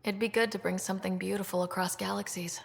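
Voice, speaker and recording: An actress playing a sincere, supportive young leader in a video game, inquisitive, with a creaky edge to the voice. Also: female voice, medium pitch, little pitch movement, quick pace, natural voice. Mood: sympathetic. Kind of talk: video game dialogue acting. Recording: long reverberant hall sound.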